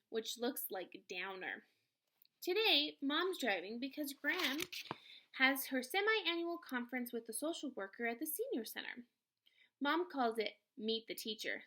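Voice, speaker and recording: Animated, high-voiced woman reading a story aloud. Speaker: read-aloud reader, teacher. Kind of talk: expressive children's novel read-aloud.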